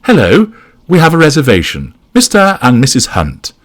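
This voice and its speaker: A man's voice: mid-range voice, wildly swooping pitch, measured pace, breathy edge, pitched above the performer's own voice, full character voice. A man voicing a snobbish, domineering well-off wife in an audiobook, effusive, emphatic and condescending. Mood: excited, gushing.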